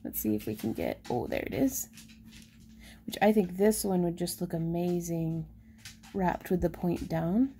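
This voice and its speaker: Mellow woman, gravelly. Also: lowish voice, unhurried, slight background noise. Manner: chatty and excitable, drops into soft whispers over pretty stones. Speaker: shop owner showing handmade stones. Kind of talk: casual live shop update. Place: indoors at a craft table.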